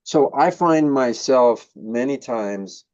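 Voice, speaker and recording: A man's voice: medium pitch, lively intonation, slow, darkish tone, muffled recording. A man, a native English speaker, hosting a casual conversation. He talks thoughtfully and hesitantly, with many fillers when he switches to Korean. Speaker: host.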